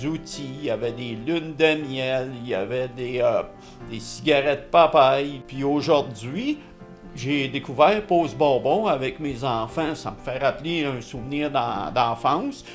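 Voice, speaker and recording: A man's voice: mid-range voice, a little slow, faint music behind. A middle-aged man with a Quebec French accent, giving a hesitant testimonial in an ad. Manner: hesitant, many fillers. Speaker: parent in an advertisement.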